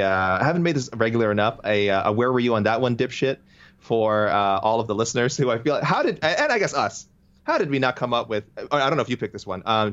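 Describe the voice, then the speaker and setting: A man's voice: fairly low pitch, a bit bright, lo-fi sound. A man co-hosting a podcast in casual chat, chatty and playful, reading out listener messages. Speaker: podcast co-host.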